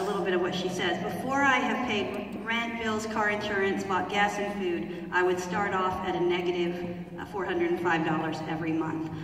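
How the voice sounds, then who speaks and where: A woman's voice: fairly low pitch, a bit bright. A woman at a town hall reading out a written testimonial, carefully and with small fillers. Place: large theater hall. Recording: echoey hall from far off.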